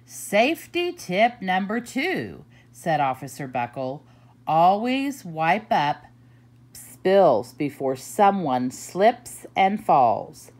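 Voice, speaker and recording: Woman, storybook reader for kids, unhurried, big pitch swings, lowish voice. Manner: expressive, slow and deliberate, swooping pitch. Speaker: children's librarian reading aloud. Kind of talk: storybook read-aloud.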